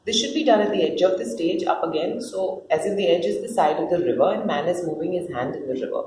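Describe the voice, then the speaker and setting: A woman's voice: fairly low pitch, animated, fast, dark tone. A woman reading out scripted stage directions as the narrator of a play. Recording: roomy echo with faint noise.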